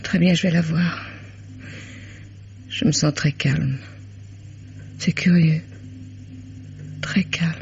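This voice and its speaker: A woman's voice: deep voice, steady tone, takes it slowly, vocal fry, long pauses, a little mellow, full character voice. An actress playing a stunned, bereaved middle-aged mother with cold, controlled formality in a 1950s-style French radio drama. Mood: eerily calm. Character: bereaved mother, stunned, coldly composed and accusing. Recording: dull lo-fi old radio, noisy.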